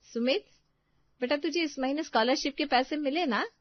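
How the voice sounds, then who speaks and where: A woman narrator acts a pushy elder sister from a cartoon family drama. Her voice is high, coaxing and insistent, on a dry, dull track. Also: normal speed, dry recording, natural voice. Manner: wheedling, insistent.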